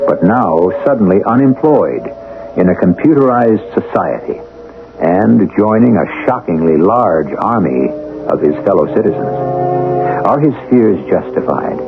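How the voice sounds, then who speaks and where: An ominous host of a 1970s radio mystery, very low and gravelly. He narrates with deliberate, portentous weight. The sound is a tinny old radio broadcast with music underneath. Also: expressive. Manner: deliberate, portentous, rhetorical questions.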